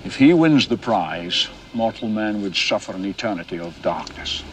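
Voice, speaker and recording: A man's voice: fairly low pitch, expressive, moderate pace, a bit bright. A man playing a grave immortal mentor in a film, solemn and portentous in delivery. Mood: grave foreboding. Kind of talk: dramatic film dialogue. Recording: distant film audio under music.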